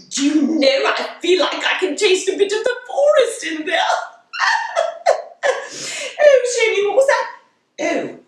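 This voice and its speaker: A woman's voice: extremely high pitch, full character voice. A woman playing a giggly, doting, theatrical tea-party hostess, talking to her audience in an affected, gushing way. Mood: giggly, delighted. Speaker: show host. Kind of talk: whimsical character monologue to an audience. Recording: very echoey room, distant microphone.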